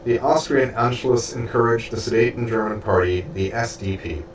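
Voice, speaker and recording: Stuffy man reading aloud, halting. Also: mid-range voice. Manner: halting, stumbles over names and restarts words. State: stuffy and losing his voice. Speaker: audiobook reader and streamer. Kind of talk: reading a book aloud with spoken asides. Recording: roomy, distant from mic, faint background hiss.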